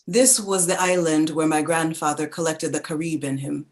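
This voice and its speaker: An actress playing a reflective woman narrator who recalls her childhood in a contemplative, deliberate literary reading. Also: low-pitched, fairly even intonation, a little mellow.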